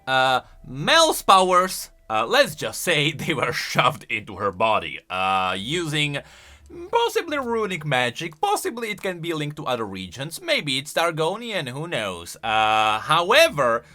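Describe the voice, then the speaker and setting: A man's voice: fairly high pitch, huge pitch swings, unhurried, bright, forceful tone. A man giving casual video commentary on game lore as a YouTuber, rambling along and stretching words for emphasis.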